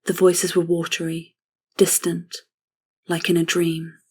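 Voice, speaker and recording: A woman reading scripted fantasy narration for an audio drama in a measured, solemn way. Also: lowish voice, monotone, a little mellow, slightly rough.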